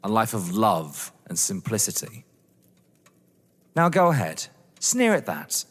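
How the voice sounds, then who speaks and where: An actor playing a young English lover, earnest, polite, proud and defensive, in a theatrical audio drama. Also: male voice, on the low side, wildly swooping pitch, takes it slowly, fairly bright tone, husky, full character voice. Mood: indignant. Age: young adult. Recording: echoey hall-like reverb.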